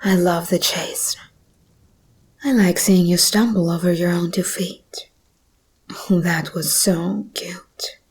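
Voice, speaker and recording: Breathy, slow-whispering predatory monster girl, played by a woman. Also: on the low side, fairly even intonation, exaggerated voice. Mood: teasing, playful. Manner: slow, teasing, predatory purr. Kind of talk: ASMR roleplay, intimate monologue.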